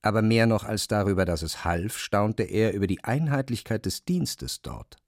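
A man narrating a literary text as a scripted reading, measured and precise. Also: fairly low pitch, lively intonation, a little mellow, dry recording, clean studio sound.

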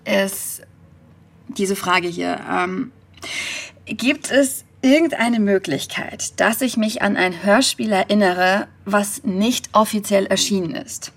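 Young woman podcaster, creaky voice. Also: mid-range voice, slow pace. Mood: hesitant, earnest. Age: young adult. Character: earnest young female podcaster haunted by a childhood memory. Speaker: podcast host and narrator. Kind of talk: conversational first-person audio drama storytelling.

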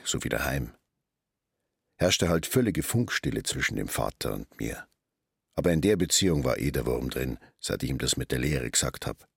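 A middle-aged Bavarian hairdresser in a radio play. His voice is low and somewhat husky, recorded very dry and close. He talks in a colloquial, chatty flow. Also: no room sound, heavily acted. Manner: talkative, colloquial narrating. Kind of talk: radio drama monologue and dialogue.

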